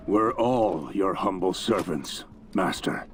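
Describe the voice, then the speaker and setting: An actor playing a sardonic warrior lieutenant who serves a warlord, theatrical in manner, in a video game cutscene. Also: male voice, low voice, animated, takes it slowly, slight background noise. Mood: wry, doubtful. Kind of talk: video game cinematic acting.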